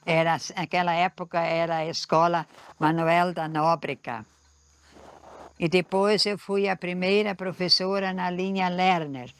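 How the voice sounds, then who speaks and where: An older woman, a retired teacher and principal, recalling her schools in a halting way and often repeating herself. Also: on the low side, clean tone. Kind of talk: interview reminiscence.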